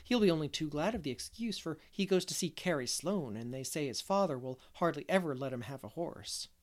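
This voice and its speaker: A woman voicing a gentle young woman teacher who speaks softly and calmly in an audiobook. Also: deep voice, fairly quick. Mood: practical. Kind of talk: audiobook character voice.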